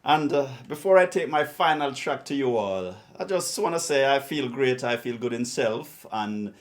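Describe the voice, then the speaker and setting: A man hosting a radio show, talking casually and encouragingly to his listeners in a drawn-out way. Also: medium pitch, animated. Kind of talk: casual radio sign-off talk.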